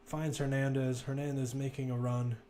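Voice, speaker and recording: A man's voice: medium pitch, level tone, normal speed, warm tone, a little hiss or hum. A man giving casual, conversational live play-by-play commentary on a football match, with wry asides.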